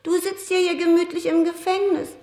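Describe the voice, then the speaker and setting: A high, whiny gangster's wife from a comic radio crime serial. She is self-pitying and nagging, with theatrical melodrama, and sounds as if in an echoey room. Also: level tone, a little fast, mellow. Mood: pouting.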